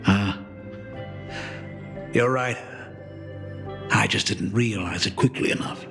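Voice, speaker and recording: A man's voice: on the low side, slow pace, creaky, music in the background. An actor playing a middle-aged doting father in a video game, self-deprecating, polite and hesitant in an emotive way. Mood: earnest, humble.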